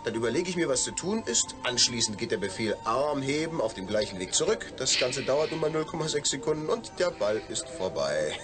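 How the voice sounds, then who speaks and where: Actor as a lively, jovial astronaut man. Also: mid-range voice, brisk, few pauses, music in the background, close to an everyday voice. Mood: cheerful, chatty. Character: tipsy, cheerful male astronaut chatting on the phone. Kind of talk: radio play dialogue.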